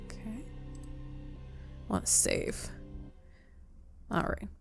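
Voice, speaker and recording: A woman's voice: extremely slow, faint music behind. A woman giving casual playthrough commentary on a game, musing and thinking aloud a little hesitantly. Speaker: gaming commentator.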